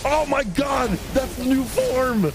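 A young man doing live gameplay reaction commentary as a streamer, excitable and full of slangy exclamations. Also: very high voice, takes it slowly, gravelly. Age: young adult. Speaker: game streamer. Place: indoor streaming room. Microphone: close desk microphone. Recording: loud game battle music behind. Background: game battle music.

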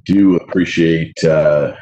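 A man's voice: extremely low pitch, fairly even intonation, takes it slowly, warm tone, dull audio, faint music behind. A man in his thirties chairing a city council committee over a video call, formally reading legislation aloud. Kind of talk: formal council meeting proceedings. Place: home room on a video call.

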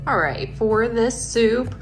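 A woman's voice: a little high, lively intonation, slow pace. A woman in her thirties chatting casually and encouragingly to viewers of her home vlog, recorded indoors at home. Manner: encouraging, addresses viewers directly. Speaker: home decor and lifestyle vlogger. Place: rooms of a house, indoors. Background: background music.

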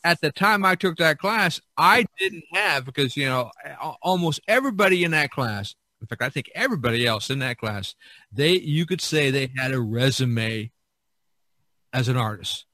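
A man hosts a casual podcast chat. His voice is bright and a little tense, sitting at a medium pitch. The sound is dry, with no room around it. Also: lively intonation, normal speed, no room sound. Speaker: podcast host.

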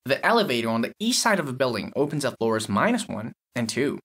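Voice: mid-range voice, sing-song, brisk, a bit bright, breathy edge, full character voice. An actor playing an impatient, reluctant lab technician in an audio story, explaining with exasperation. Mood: dry explaining.

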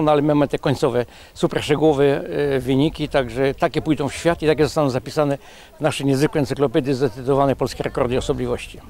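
Older records official, slightly high pitch, wordy and halting. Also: male voice, a little mellow. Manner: wordy, hesitant, with many fillers. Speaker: records adjudicator. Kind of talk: outdoor news interview. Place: outdoors at an event site.

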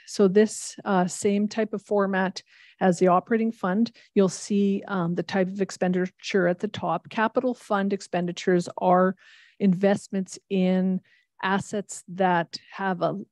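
A woman on municipal finance staff giving a formal budget presentation to council, measured and explanatory as she reads out long figures. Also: medium pitch, a little mellow, dry recording, studio quality. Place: large council chamber.

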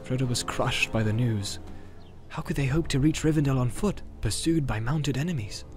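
A man's voice: mid-range voice, moderate pace, faint music behind. A man narrating an audiobook in a dramatic storytelling style.

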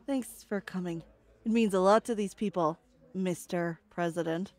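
A woman's voice: fairly low pitch, moderate pace, darkish tone, close to an everyday voice. An actress playing a fierce, foul-mouthed, hopeful woman pilot in an apocalyptic sci-fi audio drama. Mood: warm, confident. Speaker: voice actor.

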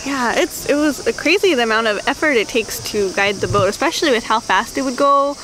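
A young woman in her twenties chatting in an animated, bubbly way as a travel vlogger, talking to a camera held at arm's length on a riverbank outdoors. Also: fairly high pitch, brisk, background noise. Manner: bubbly and animated, with gasps and laughs. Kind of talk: casual chat.

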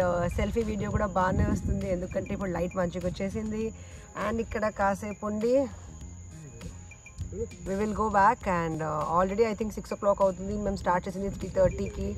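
A young woman vlogging outdoors on a kayak, chatty and excited, talking into a phone held at arm's length. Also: medium pitch. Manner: chatty, excited, mixing English into Telugu. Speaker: travel vlogger. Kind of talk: casual vlog narration. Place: outdoors on a kayak on open water. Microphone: phone held at arm's length for selfie video.

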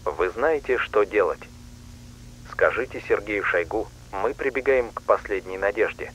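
Male actor as grim Russian leader, lowish voice, terse commands, drama dialogue, faint background hum. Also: animated, moderate pace, a bit bright, low-quality recording. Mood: grim, resolute.

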